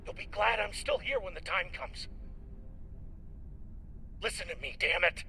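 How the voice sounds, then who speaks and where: Casting: self-serving spaceship captain, forceful and gravelly, gruff, swearing, pleading for his life. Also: high voice, animated, a little slow, slight background noise, exaggerated voice. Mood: urgent bargaining. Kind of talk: dramatic video game acting.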